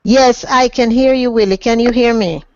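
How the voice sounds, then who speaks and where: A middle-aged woman with a Jamaican accent, speaking formally and earnestly as a motivational coach, with deliberate, emphatic phrasing. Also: on the high side, dry recording. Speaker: guest, transformational coach. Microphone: online webinar connection. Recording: dry, dull lo-fi webinar audio.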